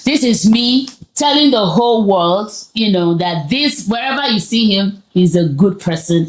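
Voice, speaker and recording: A woman with a Nigerian accent hosting a casual conversation, speaking earnestly with halting repeats. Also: medium pitch, expressive, low-quality recording. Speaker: host of a talk show.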